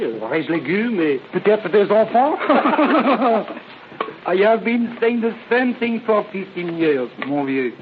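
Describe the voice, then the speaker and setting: A man's voice: high voice, narrow, tinny audio. A man acting a weary French seaman with a French accent, mixing French phrases into stilted English in a radio drama. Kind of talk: old-time radio acting. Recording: thin, hissy old radio recording.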